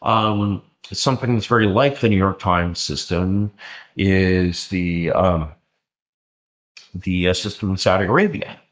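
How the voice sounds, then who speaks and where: A man explaining in a lecturing way in conversation, often pausing with ums and restarting his sentences. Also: low voice, fairly bright tone. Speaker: interview guest.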